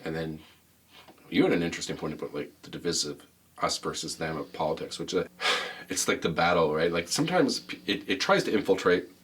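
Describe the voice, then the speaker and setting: A man with a deep voice co-hosting a casual gaming podcast, jokey and rambling, laughing often. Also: a little fast, a bit bright. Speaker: podcast co-host.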